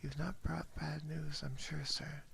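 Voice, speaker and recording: A man reading a story aloud as ASMR in a soft, hushed, unhurried whisper, close to a binaural microphone. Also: fairly high pitch, level tone, creaky, slight background noise. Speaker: ASMR streamer.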